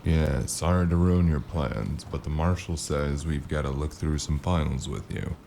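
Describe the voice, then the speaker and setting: An actor playing a weary, sardonic noir investigator with a gravelly voice and a dry, deadpan, clipped delivery. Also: male voice, rumbling low, moderate pace, a little hiss or hum. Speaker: lead actor and narrator. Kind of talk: noir audio drama acting and narration.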